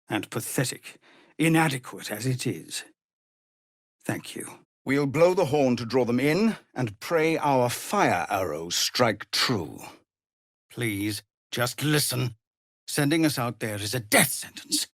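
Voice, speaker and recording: A dutiful, weary leader of refugees for a video game. His mid-pitched voice moves expressively at a measured, slightly slow pace. He is grave, formal and earnest, recorded very dry. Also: no echo. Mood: firm, urgent. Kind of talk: dramatic video game voice acting.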